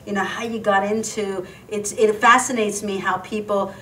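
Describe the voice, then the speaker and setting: A woman hosts a live web talk show, gushing and warm in her words and often halting and restarting her sentences. Her voice sits at a middling pitch. The mic is some distance away, with faint noise behind her. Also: steady tone. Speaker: host.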